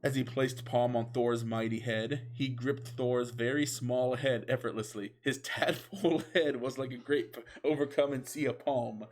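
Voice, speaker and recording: A man's voice: medium pitch, steady tone, a little slow, hardly any pauses. A man reading fan fiction aloud as a comedic, mock-epic narration, sounding amused. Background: music.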